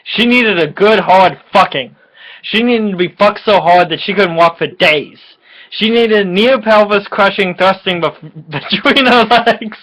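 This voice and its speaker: A man's voice: sits high, a little slow, fairly bright tone, poor audio. A slightly tipsy man bantering casually and reading aloud for comic effect in a crude, teasing, informal way. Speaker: co-host of a dramatic reading video.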